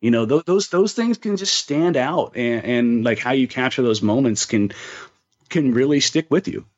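Halting male sports co-host, slightly dull audio. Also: mid-range voice, lively intonation. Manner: halting, repeats words while thinking. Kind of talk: casual sports chat.

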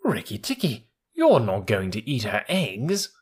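A man voicing a silly, excitable male tailorbird with a husky, sing-song voice. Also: fairly low pitch, unhurried, heavily acted. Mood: fawning.

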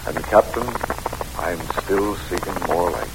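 An actor playing a weary, kindly middle-aged American president in measured, solemn, folksy style in an old-time radio drama. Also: male voice, low voice. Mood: reflective, storytelling. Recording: noisy old radio recording.

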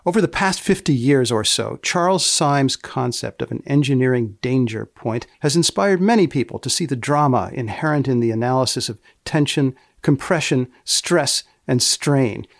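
A man hosting a podcast with deliberate, measured phrasing, moving between scripted narration and interview questions. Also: mid-range voice, animated, gravelly, no room sound, studio quality. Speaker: podcast host.

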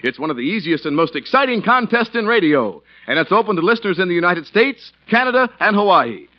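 A man's voice: sits high, very animated, quick pace. A man announcing in scripted 1940s radio style, emphatic and salesmanlike. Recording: muffled old radio recording. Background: faint music.

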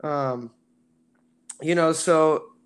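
A man who sings in a band, with a raspy voice, talking casually in an interview with lots of fillers. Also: a little high, very slow. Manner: relaxed, rambling with many fillers. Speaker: band singer.

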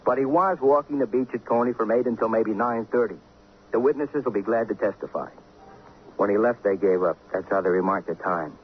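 Man playing a wry, low-voiced radio cop sidekick. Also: a little fast, natural voice. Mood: dry, musing. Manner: wry, wordy, colloquial. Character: wry, philosophical male police sidekick, loyal and tired. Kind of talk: 1950s radio crime drama acting. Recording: dull, faintly noisy old radio recording.

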